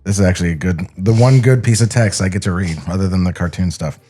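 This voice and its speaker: A man's voice: low-pitched, quick pace, dark tone. A man co-hosting a podcast, giving casual comedic commentary in a sardonic, critical manner. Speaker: podcast co-host.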